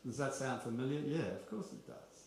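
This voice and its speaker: A man's voice: medium pitch, lively intonation, moderate pace, vocal fry, a little mellow. Chatty man in an echoey hall. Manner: chatty and confessional, rhetorical questions, self-mocking asides. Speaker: kirtan speaker. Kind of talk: informal spiritual talk. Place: large echoey room at a kirtan gathering. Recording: very roomy, faint background noise.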